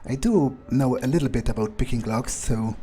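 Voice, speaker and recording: A man's voice: mid-range voice, moderate pace, warm tone, breathy edge. A man improvising in-character commentary over a game, thinking aloud hesitantly, repeating words and swearing casually. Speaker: gamer doing in-character playthrough.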